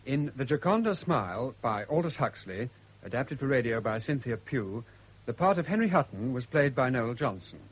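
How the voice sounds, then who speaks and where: A man with a husky voice makes a formal radio announcement. He reads measuredly from a script, at a middling pitch, on a dulled old recording. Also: sing-song, moderate pace, highs cut off.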